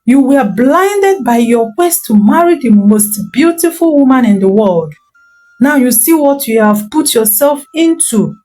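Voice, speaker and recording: Woman as a stern angry king, scolding, slow, storybook folktale. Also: high voice, animated, warm tone, faint music behind. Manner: scolding, reproachful.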